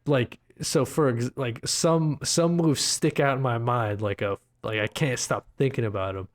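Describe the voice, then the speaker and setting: A man's voice: medium pitch, measured pace. A man co-hosting a podcast, chatting casually about video games in slangy, bro-style talk with swearing. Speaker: podcast co-host.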